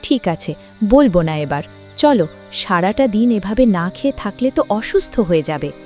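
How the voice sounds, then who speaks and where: A woman's voice: medium pitch, very animated, moderate pace, a little mellow, faint music behind, natural voice. A woman playing a practical, caring village wife and mother in a cartoon, chiding yet consoling. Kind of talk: cartoon dialogue and story narration.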